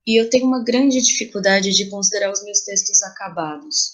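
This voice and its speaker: A woman's voice: mid-range voice, a little fast. A woman with a Brazilian accent speaking reflectively as an interview guest about creative work, thoughtful and searching for words as she goes.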